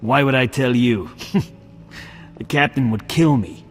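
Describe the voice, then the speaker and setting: A man playing a shifty, bribable guard in a video game, with a raspy voice. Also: medium pitch, a little slow, close to an everyday voice. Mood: cagey. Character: shifty, bribable male drug-using guard. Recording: long echoing reverb, music behind.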